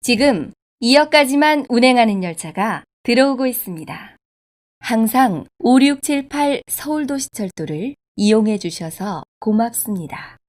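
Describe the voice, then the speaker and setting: A woman reading scripted subway station announcements in a formal, polite, measured way. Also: a little high, expressive. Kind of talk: scripted public transit announcement.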